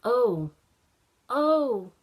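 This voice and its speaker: A woman's voice: on the high side, very animated, drawn-out words, pitched above the performer's own voice, heavily acted. Woman as an eager, exclaiming little storybook boy. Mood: flustered, pondering. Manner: exclaiming, musing aloud. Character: eager, puzzled little boy building a snowman. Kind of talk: children's storybook character voice.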